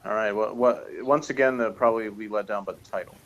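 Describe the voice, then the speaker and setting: Male co-reviewer, lowish voice, off-the-cuff conversational delivery, noticeable noise behind him. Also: steady tone, quick pace, a bit bright, lo-fi sound. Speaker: co-reviewer of a TV series. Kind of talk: casual unscripted review chat.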